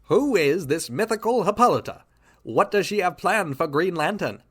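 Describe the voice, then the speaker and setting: A man's voice: high-pitched, animated, normal speed, fairly bright tone, husky. A man narrating and announcing in a theatrical 1940s radio style. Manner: showy, upbeat, theatrical.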